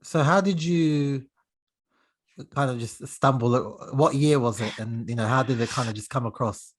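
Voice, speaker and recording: A man hosting an interview podcast, speaking over a remote call in a steady conversational way. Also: mid-range voice, normal speed. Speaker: podcast host.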